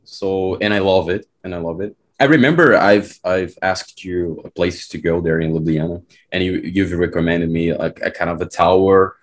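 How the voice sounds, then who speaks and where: A man with a very low voice hosts a casual podcast. He talks loosely and with a lot of enthusiasm and keeps tossing in friendly slang. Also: moderate pace, few pauses. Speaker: podcast host. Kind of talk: casual conversation.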